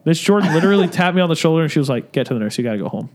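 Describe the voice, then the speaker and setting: A man's voice: fairly high pitch, rapid-fire. Casual young man telling a story. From Syria. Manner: relaxed storyteller, laughs through anecdotes, swears casually. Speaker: podcast co-host.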